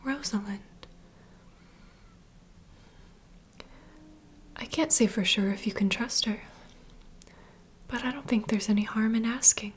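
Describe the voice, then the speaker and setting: A woman playing a knowing, secretive vampire bartender in an ASMR roleplay, speaking in a soft breathy whisper as an unhurried, gentle mentor. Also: a little high, close to an everyday voice. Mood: confiding. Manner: unhurried, confiding storyteller. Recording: close whisper over clear ambient noise.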